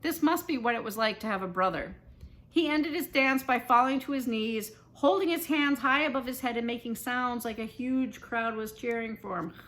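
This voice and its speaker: A woman with a fairly high, very bright voice reads a middle grade story aloud. Her delivery is animated and expressive, told in the first person like dramatic storytelling for children. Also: moderate pace. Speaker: author reading aloud.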